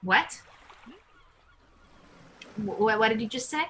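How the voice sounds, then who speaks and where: A woman's voice: fairly high pitch, lively intonation, slow, sharp tone, full character voice. A woman playing Depression personified, a protective, coaxing caretaker, in a live play reading. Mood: guarded.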